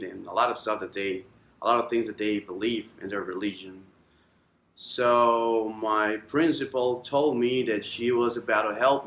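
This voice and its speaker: A man in his twenties from Costa Rica, with a Costa Rican Spanish accent, giving a halting personal testimony with many fillers and slips into Spanish. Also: lowish voice, fairly bright tone, reverberant. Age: 20s. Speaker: testimony speaker.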